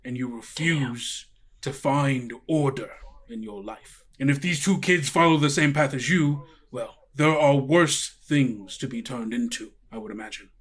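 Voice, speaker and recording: A man's voice: mid-range voice, unhurried, fairly bright tone. A man voicing a stern owl school principal in a tabletop game, formal, condescending and coldly authoritative. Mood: scornful. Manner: formal, haughty, belittling.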